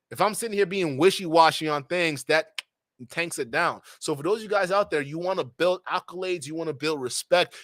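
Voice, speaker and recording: A young man from Nigeria hosting a live stream, giving an animated, preachy monologue with slangy asides. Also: fairly high pitch, no echo, clean studio sound.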